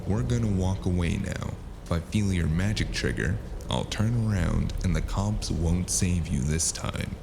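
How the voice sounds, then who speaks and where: Noir audio drama lead: world-weary male detective played by a man, very low and gravelly, dry wisecracks over a noisy ambient bed. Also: unhurried, hardly any pauses. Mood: firm, menacing. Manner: dry, sardonic, laconic.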